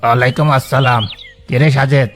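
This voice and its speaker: A man's voice: mid-range voice, steady tone, a little fast, a bit bright, lightly acted. A man voicing a brusque bazaar shopkeeper in a cartoon drama, curt, bossy and impatient. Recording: faint music behind the voice.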